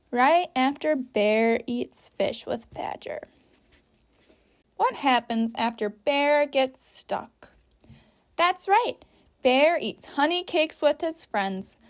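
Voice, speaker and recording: A woman teacher guiding young children through a story activity step by step, patiently, with simple sentences and guiding questions. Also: high voice. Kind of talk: instructional read-aloud for young children, step-by-step.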